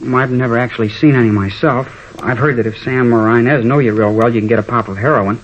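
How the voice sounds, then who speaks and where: An actor playing a troubled, hesitant high school boy who cooperates as a witness in a 1950s radio drama. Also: fast, slight background noise, natural voice. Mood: forthcoming. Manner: hesitant, slangy. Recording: muffled vintage radio recording.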